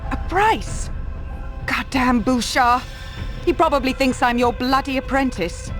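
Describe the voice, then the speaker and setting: An actress playing a sharp-tongued, sceptical captive woman in a video game, dry and biting in her delivery. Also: high voice, unhurried. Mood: indignant, scornful. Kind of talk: video game cutscene voice acting. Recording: music behind the dialogue.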